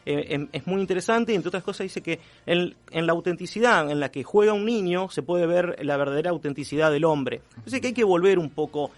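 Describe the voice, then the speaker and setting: An Argentine man giving a conversational radio column on culture, reflective and halting as he searches for words. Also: on the high side.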